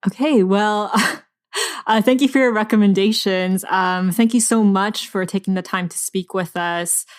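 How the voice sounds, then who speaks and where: A woman co-hosting a podcast in a casual book chat, informal and quick to giggle. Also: mid-range voice, clean tone. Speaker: podcast co-host.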